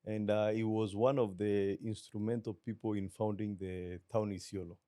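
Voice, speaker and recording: A middle-aged Kenyan man with a Kenyan accent, a business guest talking in a measured, reflective way and slipping into Swahili. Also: lowish voice, flat delivery, mellow, no echo, studio quality. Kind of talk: relaxed interview talk.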